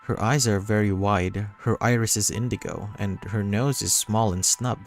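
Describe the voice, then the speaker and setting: A man reading a visual novel as dramatic first-person narration, pausing between short phrases. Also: fairly low pitch, fairly even intonation. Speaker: reader voicing a visual novel. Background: music.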